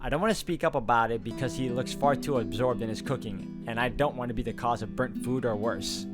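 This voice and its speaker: A man of medium pitch narrating a visual novel, stopping to think through choices with pauses and asides. Loud game music runs under his voice the whole time. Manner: thinks aloud with hesitations and asides. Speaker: game streamer reading the story aloud.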